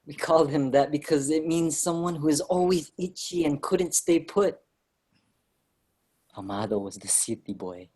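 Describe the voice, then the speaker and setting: Earnest immigrant storyteller for stage drama female actor, low voice, unhurried, with lively swings in delivery. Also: slightly rough, heavily acted. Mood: reflective. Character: earnest Filipino immigrant writer, recalling his boyhood. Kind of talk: staged reading, storytelling narration and dialogue.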